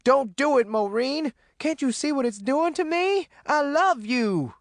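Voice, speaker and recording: A man's voice: very high-pitched, animated, measured pace, dry recording, pitched above the performer's own voice, full character voice. A man voicing a big, frantic woman who wails and pleads desperately in an audiobook. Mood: desperate pleading. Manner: wailing, desperate, shouted pleas. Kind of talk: audiobook character acting.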